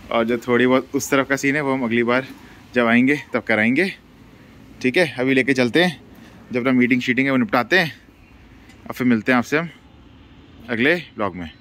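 A man's voice: mid-range voice, normal speed, long pauses, a bit bright. A male travel vlogger chatting casually and playfully to his viewers, mixing English words into his talk.

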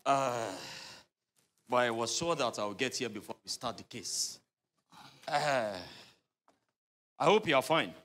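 A man playing a fussy defence lawyer who talks in halting stretches with fillers. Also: mid-range voice, animated. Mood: calm. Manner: halting, fillers, then loud yelling. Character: fussy lawyer, cares about his fee. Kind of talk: comedy skit acting.

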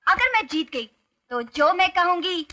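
A woman's voice: very high voice, lively intonation, a little slow, sharp tone, exaggerated voice. A woman playing a bold young friend who makes boastful bets and dares. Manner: boastful and challenging.

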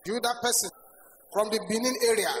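Older male pastor shouting prayers in a hall. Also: high-pitched, fairly even intonation, fairly quick. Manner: shouted commands, leads congregation in prayer; speaks in tongues between prayers. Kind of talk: fiery prayer session sermon. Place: large church hall. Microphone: stand microphone at pulpit. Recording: echoey hall with noisy congregation.

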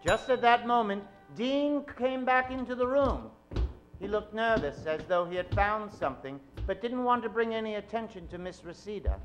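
A man's voice: high voice, normal speed, faint music behind, natural voice, rough recording. A man playing a melodramatic narrator of a comic old-time radio mystery, telling the story theatrically. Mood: suspenseful, wry. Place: theatre stage.

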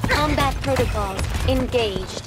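A woman's voice: high voice, measured pace, poor audio. A woman voicing a cheerful, haughty female android healer in a video game, with precise, playfully menacing delivery. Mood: matter-of-fact. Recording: game music and effects behind.

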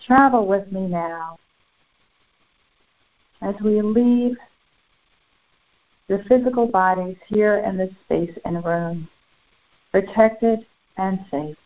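A woman leading a guided spiritual meditation in solemn, formal, deliberate phrases with long pauses. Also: mid-range voice. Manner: solemn, formal, ceremonial wording. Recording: muffled, phone-like narrow band.

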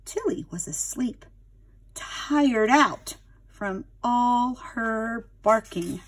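A woman's voice: fairly high pitch, lively intonation, extremely slow, slight background noise, poor audio. A woman narrating a children's storybook expressively, dramatic and addressing young listeners like a teacher.

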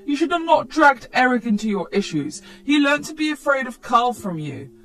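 A woman's voice: sits high, sing-song, moderate pace, fairly bright tone, soft background music, pitched above the performer's own voice, full character voice. A woman voicing a disapproving older parent, reproachful and pleading, in a story. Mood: scolding.

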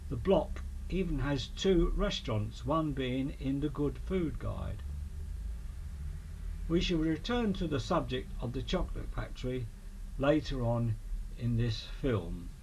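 A man with an English accent reading a scripted local history documentary in a measured manner. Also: mid-range voice, expressive, darkish tone. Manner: measured, listing names and dates. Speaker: narrator of a local history film. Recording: clearly noisy amateur voice-over recording.

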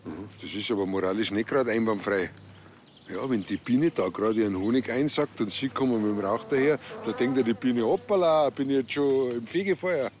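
A man's voice: medium pitch, fairly quick, a little mellow, natural voice. An actor playing a naive, self-satisfied village policeman, colloquial and musing, with a Bavarian accent.

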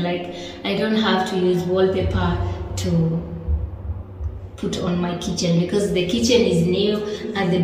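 Woman vlogger, slow excited chat, echoey bare rooms with long reverb, phone camera. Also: on the low side. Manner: excited, simple repeated phrases. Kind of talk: casual apartment tour vlog. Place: empty apartment with bare rooms.

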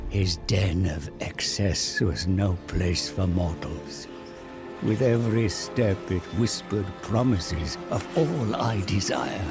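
An actor playing an old, cunning wandering seer, telling his tale gravely and dramatically in a game cinematic. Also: sits low, slow pace, over loud music. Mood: ominous storytelling. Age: older.

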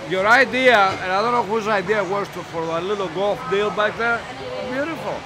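A man's voice: high-pitched, brisk, low-quality recording. Blunt older man critiquing lights. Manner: blunt, plain-spoken, repeats himself. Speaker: owner's father. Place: restaurant dining room. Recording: busy crowd chatter behind.

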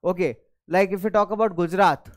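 A young man, a native Hindi speaker, giving a recorded class lecture in a studio on a lapel mic, lively and thinking aloud as he goes. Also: sits high, quick pace. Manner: energetic, gestures at screen, thinks aloud. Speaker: teacher. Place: studio in front of a large screen.

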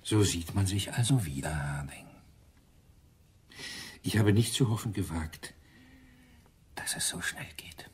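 An actor playing a gruff, sarcastic, impatient police inspector in a German radio crime drama. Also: male voice, sits low, expressive, unhurried, long pauses, a little mellow, heavily acted. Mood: sardonic, menacing. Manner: sardonic, gruff.

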